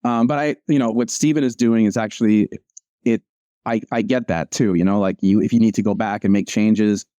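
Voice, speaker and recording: A man's voice: lowish voice, steady tone, fairly quick, dark tone, no echo. A man, a comic strip cartoonist, chatting casually and rambling with lots of fillers and restarts. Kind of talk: casual chat over a video call.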